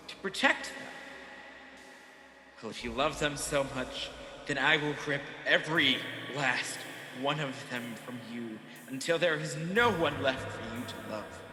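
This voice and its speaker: A man's voice: a little high, pushed and bright. A man playing a bitter, vengeful fallen angel who schemes as king of demons, theatrical and menacing in a radio play. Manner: theatrical, menacing, drawn-out emphasis. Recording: echoey, reverberant hall effect.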